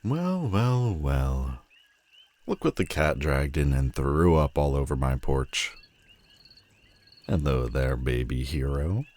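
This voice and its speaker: A man playing a menacing, world-weary telepathic supervillain in an audio roleplay, theatrical and mocking, with deliberate dramatic pauses. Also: very deep voice, sing-song, darkish tone, heavily acted. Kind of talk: scripted audio roleplay addressed to the listener.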